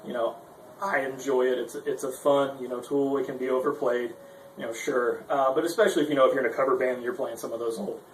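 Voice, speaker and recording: A man's voice: mid-range voice, steady tone, fast. A man giving a casual, informal instructional demo, speaking hesitantly and with some self-deprecation. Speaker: guitarist giving a gear demonstration. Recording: roomy, distant mic with background noise.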